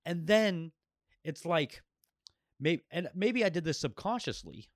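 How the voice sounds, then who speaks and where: A man hosting a podcast in casual chat, speaking candidly and reflectively, with halting restarts. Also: on the high side, expressive, no echo, studio quality. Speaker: podcast host.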